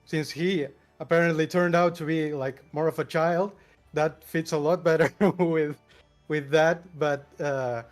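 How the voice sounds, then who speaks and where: A man from Argentina with an Argentine accent, chatting casually on a panel, hesitant with many fillers and small laughs. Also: medium pitch. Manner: hesitant, many uhs, laughs between phrases. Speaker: podcast panelist. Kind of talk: casual group chat.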